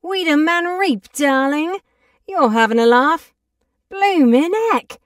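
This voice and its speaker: An actress playing a hearty, cheeky botanist who boxes, in a game. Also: female voice, high-pitched, expressive, takes it slowly, no room sound, studio quality, recorded from a distance. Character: hearty boxing botanist woman. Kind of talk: game character voice lines.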